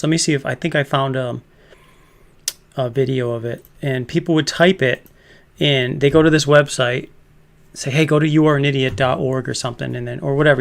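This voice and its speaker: A man's voice: medium pitch, creaky, fairly bright tone. A man in his fifties hosting a casual livestream chat, rambling and laughing often. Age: 50s. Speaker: host.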